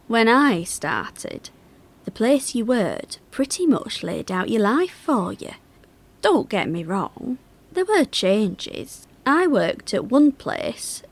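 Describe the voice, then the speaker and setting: A woman's voice: a little high, full character voice. A woman playing an older working-class factory worker in a confiding, wry monologue of fond reminiscence. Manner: chatty, confiding, wry, with dialect turns. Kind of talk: theatrical monologue, conversational reminiscence.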